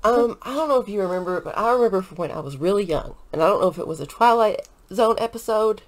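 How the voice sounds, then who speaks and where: A woman's voice: medium pitch, lively intonation, fast talker. A young American woman chatting casually as co-host of a reaction show. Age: young adult. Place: living room, on a couch.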